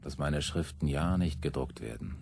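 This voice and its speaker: Deep husky fiery rebel preacher. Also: male voice, animated, normal speed, a little mellow, faint music behind, full character voice. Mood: bitter, sardonic. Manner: declamatory, rhetorical, biting. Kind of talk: German radio play acting.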